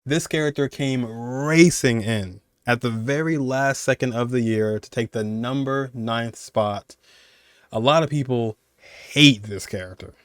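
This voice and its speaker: A man's voice: mid-range voice, measured pace, clear, resonant tone, dry recording, clean studio sound. A man in his twenties giving casual, rambling commentary as he hosts a video countdown. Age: 20s. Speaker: video host. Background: music under the voice.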